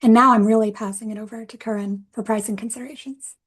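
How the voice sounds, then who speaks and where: A woman's voice: on the high side, fairly even intonation, fast talker, slightly rough. A woman giving a webinar presentation over a video call, explaining steadily with frequent fillers. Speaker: policy presenter.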